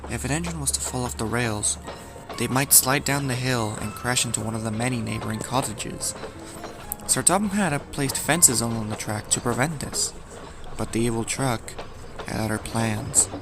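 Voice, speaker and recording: A man narrates a scripted horror tale in a medium-pitched voice. He delivers it as a storyteller, measured and with dramatic emphasis, while music plays clearly behind him.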